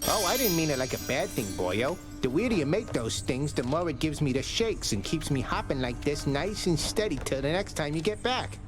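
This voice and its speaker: Chatty jittery male sidekick, higher voice, folksy, chummy, video game dialogue, music behind. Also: very animated, moderate pace, hardly any pauses. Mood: cheery.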